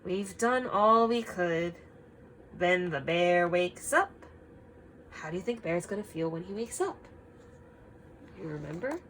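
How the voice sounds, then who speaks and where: A woman reading a picture book aloud to children, expressive and emphatic, pausing to ask simple guiding questions. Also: fairly low pitch, a little hiss or hum, poor audio.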